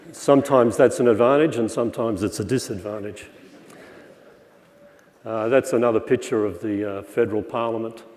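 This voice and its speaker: An older man with a croaky voice, giving a wry, relaxed conference lecture on stage with many fillers. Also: medium pitch. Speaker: plenary speaker. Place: large auditorium stage. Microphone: far room pickup, speaker at podium. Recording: reverberant lecture hall.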